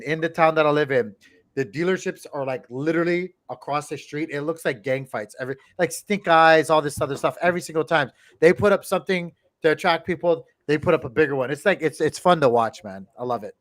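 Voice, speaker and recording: A man from Hawaii hosting a casual livestream chat from a home studio on a boom mic, laughing often and repeating himself. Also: quick pace. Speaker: host. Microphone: headset with boom mic.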